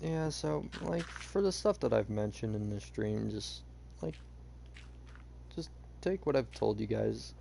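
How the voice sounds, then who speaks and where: A young man chatting casually while he plays a game, rambling with lots of fillers, his voice a bit hoarse. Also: medium pitch, animated, a little slow, mellow, slight background noise. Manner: rambling, hesitant, many fillers. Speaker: streamer. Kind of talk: casual gameplay chat.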